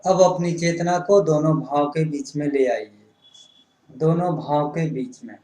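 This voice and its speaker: A man's voice: on the high side, unhurried, warm tone. A man leading a guided yoga relaxation, giving instructions in short, repetitive phrases. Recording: roomy, echoing sound.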